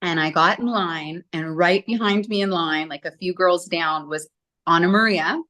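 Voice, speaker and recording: A woman in her forties, a singer being interviewed, telling her story in a chatty, animated way. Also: on the low side, moderate pace. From Southern California. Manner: chatty, animated, acts out quotes.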